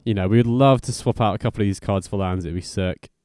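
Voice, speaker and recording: A man's voice: on the low side, fast, darkish tone, dry recording. A man giving informal, slangy commentary as host of a card game guide. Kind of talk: casual gameplay commentary.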